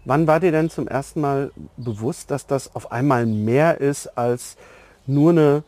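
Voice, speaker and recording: A man's voice: mid-range voice, a little slow, a little mellow, no room sound. A man interviewing in a conversational way as a podcast host.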